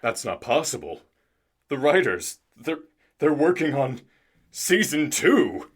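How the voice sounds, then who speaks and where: A man playing a flustered, theatrical radio drama narrator, halting and dramatic as he pleads. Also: medium pitch, big pitch swings, a little hiss or hum.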